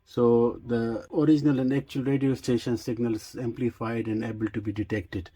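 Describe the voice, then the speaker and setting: A man casually demonstrating a product unscripted, explaining technical details in a halting way. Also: medium pitch, level tone, mellow, husky, dry recording. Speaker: radio reviewer and demonstrator.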